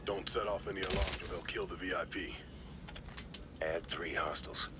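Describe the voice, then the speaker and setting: A man's voice: deep voice, fairly even intonation, moderate pace, lightly acted. A man playing a special forces soldier in a military video game, giving terse, clipped tactical callouts. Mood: tense, focused. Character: male special forces soldier on a covert rescue mission. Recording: very noisy game mix with vehicle ambience.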